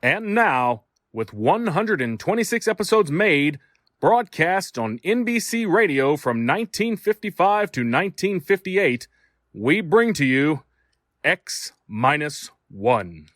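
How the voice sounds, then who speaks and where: A man's voice: a little high, sing-song, slow, fairly bright tone, dry recording, clean studio sound. A man hosting a podcast, reading a scripted introduction in a showy announcer style. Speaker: podcast host. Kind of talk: scripted old-time radio show introduction.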